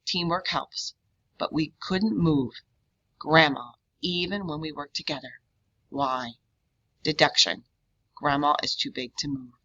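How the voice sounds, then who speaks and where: A woman voicing a curious, analytical young boy detective in a storybook, earnest and deliberate, on a dull, lo-fi recording. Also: low voice, a bit bright. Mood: earnest deduction. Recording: dull, lo-fi sound.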